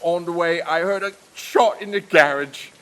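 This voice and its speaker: A man playing a rough, defiant servant and criminal accomplice in audio theatre, talking bluntly. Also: high voice, normal speed, a bit bright. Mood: defiant confessing.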